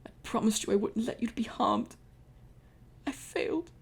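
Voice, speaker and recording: A woman's voice: fairly high pitch, lively intonation, moderate pace, vocal fry, breathy edge, pitched above the performer's own voice, heavily acted. A heartbroken young pharaoh , as a woman puts him on, pleading in a raised, strained voice. He clings to his lover with tender desperation, and every line comes out in melodramatic storybook fashion. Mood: anguished. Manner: melodramatic reading of dialogue.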